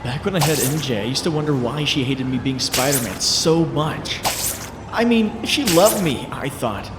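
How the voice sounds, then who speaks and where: A man's voice: medium pitch, expressive, normal speed, natural voice. An actor playing a brooding, wisecracking young male superhero in a dramatic comic dub, voicing introspective monologue with wry quips. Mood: brooding, wistful. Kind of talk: dramatic comic-dub narration and character acting. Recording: noisy, echoey, ambience behind.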